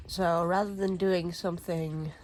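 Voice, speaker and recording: A woman in her thirties, a native Swedish speaker, chatting casually while crafting at home, rambling with lots of fillers and throat clearing. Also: fairly low pitch, steady tone, unhurried, darkish tone, a little hiss or hum. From Sweden. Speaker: crafting vlogger.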